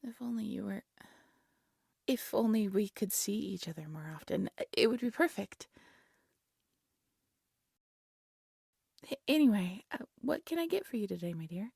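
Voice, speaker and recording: A woman playing a devoted, lovesick exiled alchemist in an intimate fantasy audio roleplay, tender and soft-spoken, often dropping into a whisper. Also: medium pitch, animated, moderate pace, vocal fry, breathy edge, no echo, studio quality. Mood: fond, playful. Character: devoted, lovesick exiled alchemist practising dark magic, gentle.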